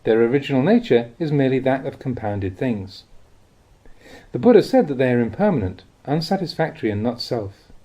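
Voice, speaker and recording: Guy reading buddhist teachings like an audiobook, soft mellow tone, slightly husky, measured, pitch moves around a lot. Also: animated. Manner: measured, instructive delivery with deliberate phrasing. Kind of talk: scripted narration, audiobook reading of spiritual teachings.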